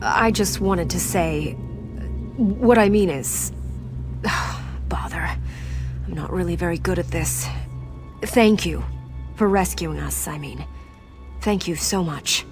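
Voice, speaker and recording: An actress playing a reserved, awkward adventurer in a video game, speaking hesitantly. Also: female voice, lowish voice, slow, pauses often, music in the background.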